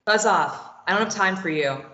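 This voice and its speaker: A woman's voice: mid-range voice, moderate pace, bright, forceful tone, close to an everyday voice. A woman playing a bitter, sarcastic, self-deprecating young woman who wants to run away, in a stage play table read. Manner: sarcastic, dismissive. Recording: dull, roomy, echoey recording.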